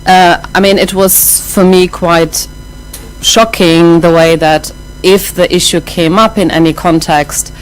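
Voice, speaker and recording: A middle-aged woman with a German accent giving a lecture, thoughtful and hesitant, with many pauses and restarts. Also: fairly low pitch, fairly even intonation, soft background music, rough recording. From half German, half Iraqi. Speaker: academic speaker.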